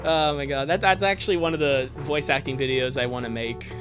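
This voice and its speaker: A man's voice: mid-range voice, fairly even intonation, fairly quick, fairly bright tone, faint music behind. A man chatting casually on a live stream, sarcastic and prone to swearing. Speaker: streamer reading fan fiction aloud.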